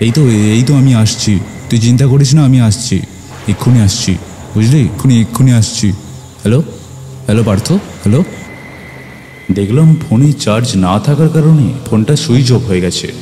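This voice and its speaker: A man playing an earnest, caring village doctor who narrates his own ghost story in a dramatic, expressive way. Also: medium pitch, a little fast, slight background noise, lightly acted. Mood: anxious, reassuring. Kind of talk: dramatic first-person horror story narration. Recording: loud music behind the voice. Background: music.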